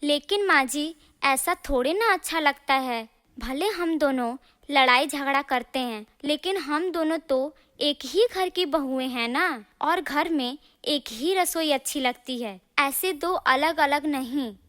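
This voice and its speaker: A woman's voice: sits high, measured pace, a bit bright. A woman voicing a quarrelsome but family-minded daughter-in-law in a cartoon drama, with an emphatic manner. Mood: pleading.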